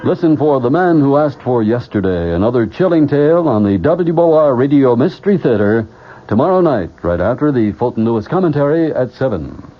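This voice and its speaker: A man's voice: medium pitch, big pitch swings, fairly quick, soft background music. A man reading scripted station announcements as a radio announcer. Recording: muffled old radio broadcast.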